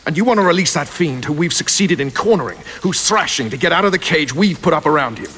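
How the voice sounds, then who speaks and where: An actor playing a gruff, cynical military commander in a video game, blunt and sardonic. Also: male voice, on the high side, lively intonation, fast, a bit bright, a little hiss or hum. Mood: indignant, sarcastic. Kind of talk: video game cutscene acting. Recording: faint rain and ambience behind.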